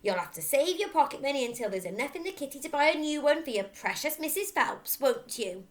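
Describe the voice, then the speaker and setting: A woman's voice: sits high, expressive, fairly quick, pitched above the performer's own voice. A woman voicing an irate, bullying middle-aged father in a storybook, barking with contempt. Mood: sneering.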